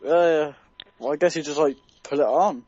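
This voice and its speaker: A man acting as a naive teenage lad phones in with hesitant, confused questions. His voice sits a bit high and the phone audio is slightly dull. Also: normal speed, darkish tone, lightly acted. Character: naive, confused underage teenage boy caller. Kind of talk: prank phone call.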